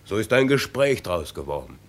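An actor playing a bold, boastful young soldier who tells tales with a swagger, in radio drama style. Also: male voice, on the low side, very animated, moderate pace. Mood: excited boasting. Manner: swaggering storyteller.

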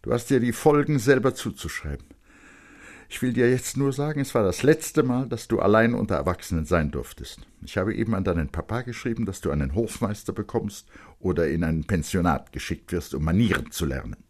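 A man's voice: lowish voice, fairly quick, darkish tone, pitched above the performer's own voice. A man voicing a stern, cold mother who gives her young son hard, clipped orders in a literary reading. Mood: cold reproach. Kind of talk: literary audiobook character reading.

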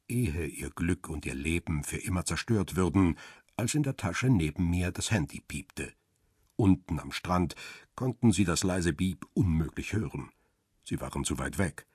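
A man playing a cool, cynical paparazzo in a crime radio drama, speaking in a measured, deliberate and guarded way. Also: low voice, slightly rough, dry recording, studio quality. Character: male paparazzo photographer, cool, cynical, guarded first-person narrator. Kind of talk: first-person crime radio drama narration and dialogue.

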